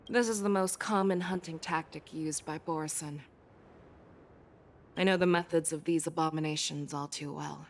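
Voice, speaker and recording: A woman playing a battle-hardened fox-folk general in a video game, stern, composed and measured. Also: low-pitched, steady tone. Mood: cold, grim. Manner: measured, grave, commanding.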